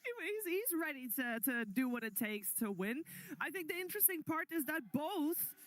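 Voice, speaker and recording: A woman's voice: sits high, very animated, moderate pace. A woman hosting an esports analysis desk who speaks fluently and hands the talk to her co-hosts.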